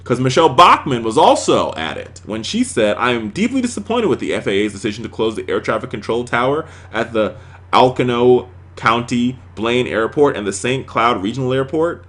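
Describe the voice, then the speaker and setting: Male American political host, mid-pitched and very lively in intonation, opinionated and sarcastic. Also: moderate pace. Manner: sarcastic, emphatic, rhetorical. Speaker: political talk show host. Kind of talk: opinionated news commentary to audience.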